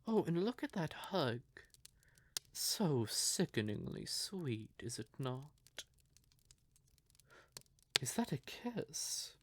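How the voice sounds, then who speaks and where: Man acting a slow-talking, possessive vampire lover. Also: medium pitch, background noise. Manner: theatrical, deliberate, syrupy endearments, drifting into breathy whispers. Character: adult male vampire, courtly, possessive lover, quietly menacing. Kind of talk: scripted audio roleplay addressed to the listener.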